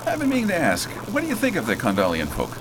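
An actor playing a folksy, bold starship pilot in a space opera radio drama, talking in breezy old-fashioned slang and giving orders. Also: male voice, huge pitch swings, fast. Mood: easygoing. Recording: clearly noisy, engine rumble behind.

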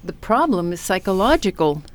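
A woman's voice: medium pitch, very animated, normal speed, lightly acted. A woman playing a vain, competitive ship's counselor in a parody radio play. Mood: catty.

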